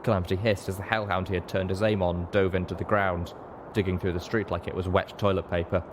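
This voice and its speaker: A man's voice: low voice, flat delivery, quick pace, background noise. A man reading a story aloud as scripted, dramatic narration. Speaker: audiobook narrator.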